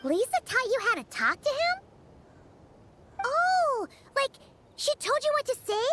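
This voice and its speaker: A woman voicing a tiny, chatty female fairy companion in a very high, squeaky, chirpy voice. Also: very animated, a little slow, very noisy, heavily acted. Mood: impressed. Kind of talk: game character dialogue.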